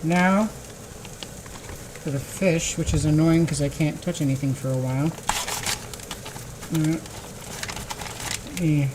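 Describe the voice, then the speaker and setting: Woman streamer, very low voice, relaxed narration over a loud frying pan in a home kitchen. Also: slow pace, long pauses. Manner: relaxed, thinking aloud while cooking. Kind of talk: casual cooking livestream talk. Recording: kitchen noise and sizzling pan. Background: frying and sizzling.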